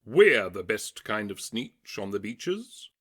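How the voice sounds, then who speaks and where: A man's voice: on the low side, big pitch swings, slow pace, a bit bright, husky, exaggerated voice. A man voicing snooty, boastful creatures in a storybook, with a haughty, exclamatory way of talking. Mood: haughty bragging.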